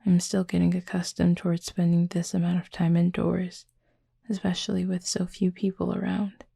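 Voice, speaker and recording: A woman acts out a courteous, softly curious cupid in an intimate audio roleplay. The voice is husky and breathy, steeped in gravelly creak, with a mellow tone. The phrasing is formal, measured and sincere. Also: fairly low pitch, completely flat delivery, no echo, clean studio sound, heavily acted. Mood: curious, reserved. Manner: polite, formal, measured, earnest. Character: gentle, formal, curious supernatural cupid roommate.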